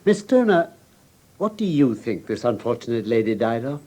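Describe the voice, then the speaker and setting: A shrewd English gentleman detective in a classic radio play. His mid-pitched voice moves through lively rises and falls. He puts each question courteously and incisively, in crisp Received Pronunciation. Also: normal speed. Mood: probing, courteous. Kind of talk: classic British radio drama acting.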